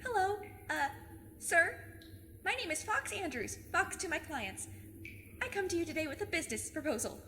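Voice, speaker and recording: A woman's voice: extremely high pitch, sing-song, moderate pace, noisy background. A woman playing a plucky, overconfident fifteen-year-old girl sleuth with a cheeky, mock-formal manner in an audio drama. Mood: nervous salesmanship. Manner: eager, cheeky, mock-formal, self-assured.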